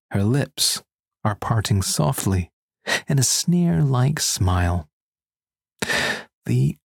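A man's voice: on the low side, gravelly, slightly rough, dry recording, studio quality. A man working as an audiobook narrator, reading a scripted first-person erotic story.

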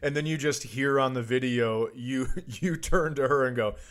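A man with a mid-pitched voice chats casually as a podcast co-host. He has a wry, teasing manner and talks in a loose comedic style. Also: normal speed, fairly bright tone.

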